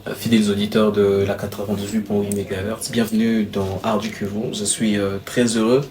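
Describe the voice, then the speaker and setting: A man with a Congolese accent hosting a casual radio interview, informal and a bit halting, repeating himself. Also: fairly low pitch, level tone, a little mellow. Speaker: radio show host. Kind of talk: casual radio interview in French with some Swahili. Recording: noticeable background noise.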